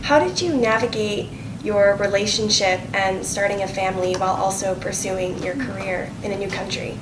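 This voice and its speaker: A woman's voice: mid-range voice, moderate pace. A woman asking a question as a member of an audience. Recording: echoey room, distant mic, clear noise.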